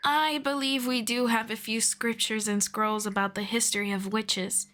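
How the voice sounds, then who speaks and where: A woman voicing a gentle, patient female elf mentor in an ASMR roleplay, speaking softly and slowly and slipping into whispers. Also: a little high, level tone. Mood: gentle, soothing. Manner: soft-spoken, slow and patient, drifting into whispers. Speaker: voice actor.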